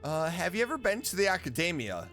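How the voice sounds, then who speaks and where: A man's voice: sits high, big pitch swings, fast talker, music in the background. A man streaming a video game, giving casual reaction commentary.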